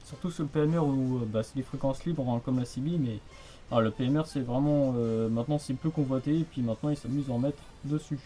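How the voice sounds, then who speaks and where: A man's voice: medium pitch, fast, warm tone, faint music behind. A man chatting casually on the radio in a rambling, hesitant, repetitive way. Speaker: amateur radio hobbyist.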